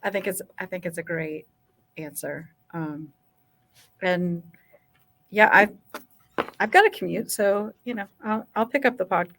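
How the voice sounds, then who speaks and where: Female podcast host; friendly, casual, halting restarts. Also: on the low side, fairly bright tone. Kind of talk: casual interview conversation.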